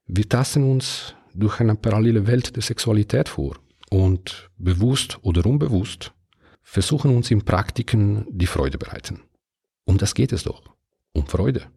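A man in his fifties from southern Switzerland, Italian by mother tongue, whose German carries a clear Italian accent. His voice sits low and mellow with a husky edge. He hosts his own podcast alone, frank and conversational. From Ticino, Switzerland. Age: 50s. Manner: calm, candid, conversational with small hesitations. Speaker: podcast host. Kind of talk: solo podcast monologue.

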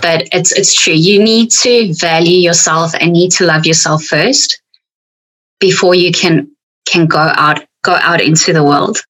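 A woman's voice: on the low side, unhurried, fairly bright tone, rough recording. South African woman coach, frank and reflective. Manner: frank, reflective, speaks to herself in quoted thoughts. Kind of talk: podcast conversation.

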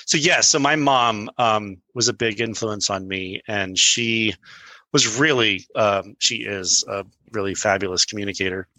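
Bright-voiced man chatting loosely. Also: on the low side, a little slow, no room sound, poor audio. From Brazil. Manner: rambling, many fillers and restarts. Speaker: guest. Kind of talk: casual podcast chat.

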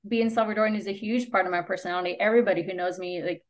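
A woman's voice: medium pitch, fairly even intonation, rapid-fire. A woman whose first language is Spanish, chatting casually as a podcast guest, peppering her informal talk with "like". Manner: informal, frequent "like" as filler. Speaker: podcast guest, copywriter and business owner. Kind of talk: casual interview conversation.

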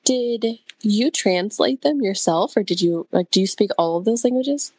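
A woman's voice: medium pitch, animated, normal speed, slightly rough, dry recording. A woman hosting a podcast, reacting effusively and asking friendly questions. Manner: warm reactions, effusive, laughs. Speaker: podcast host. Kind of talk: casual interview chat.

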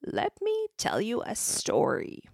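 A woman voicing a loving elderly grandmother who speaks gently to her granddaughter. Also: on the high side, huge pitch swings, slow, gravelly, breathy edge, no room sound, studio quality, pitched above the performer's own voice, full character voice.

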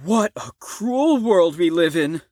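A woman's voice: fairly low pitch, animated, slow pace, darkish tone, dry recording, clean studio sound. A woman playing a brash, crude, flippant psychiatric patient in an audio drama, with a theatrical, swaggering manner. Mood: sardonic.

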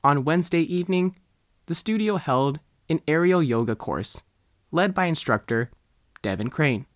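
A man with an American accent narrates a written news story as its reporter. His voice sits a little high and moves with lively intonation, recorded close and dry. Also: moderate pace, no echo. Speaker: reporter reading own article.

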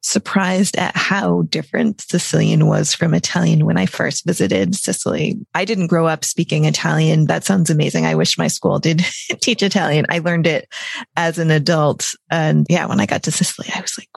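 Husky low-voiced woman hosting a podcast. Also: normal speed, no room sound. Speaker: podcast host. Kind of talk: scripted podcast intro and interview.

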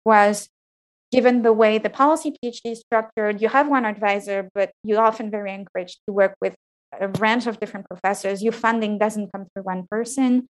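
High-voiced woman, frank interview guest. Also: steady tone, fairly quick, low-quality recording. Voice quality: fairly high-pitched voice. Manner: thoughtful, frank, with frequent restarts. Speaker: interview guest, PhD graduate. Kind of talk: reflective interview answers.

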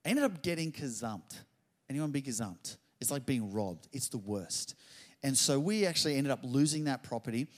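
A middle-aged man preaching a sermon, telling a story and building to loud exclamations. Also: medium pitch, expressive, moderate pace. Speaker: pastor.

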